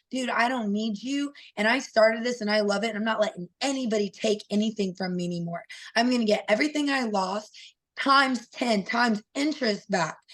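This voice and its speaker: A woman in her thirties with a raspy voice, a fighter telling her story in an interview in a candid, earnest way with many fillers. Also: a little high, a bit bright. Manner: open and earnest, with frequent fillers. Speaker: interview guest, professional fighter.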